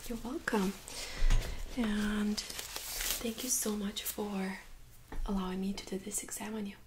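A young woman speaking in a soft, breathy near-whisper, gently playing a medical examiner in an ASMR role-play. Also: mid-range voice, fairly even intonation, background noise. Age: young adult. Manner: gentle, unhurried, reassuring. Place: small carpeted exam room, indoors. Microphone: USB microphone on a stand nearby.

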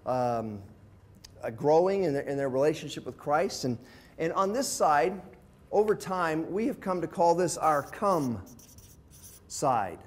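An older man, around sixty, a pastor giving an informal, plain-spoken teaching talk to a group, explaining things step by step. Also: a little high. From from abroad, visiting South Africa. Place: indoor classroom with whiteboard and screen. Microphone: lapel mic.

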